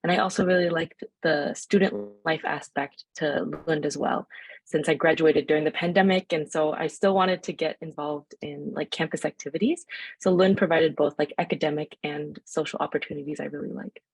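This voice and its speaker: Husky lower-voiced young woman. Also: steady tone, normal speed, dry recording, low-quality recording. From United States. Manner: clear, fluent, casual. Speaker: panelist, master's student.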